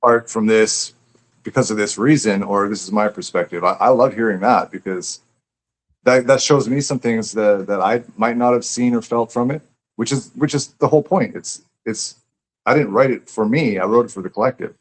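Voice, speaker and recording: Lowish voiced guy, earnest poet guest chatting, repeats words while thinking out loud. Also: moderate pace. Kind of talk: casual, reflective interview chat.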